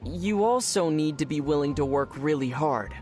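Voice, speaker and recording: A man playing a young traveler hero in a video game, calm and measured, with a wry, teasing manner. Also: fairly high pitch, natural voice. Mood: calm, thoughtful. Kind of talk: English video game voice acting. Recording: music behind the voice. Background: music.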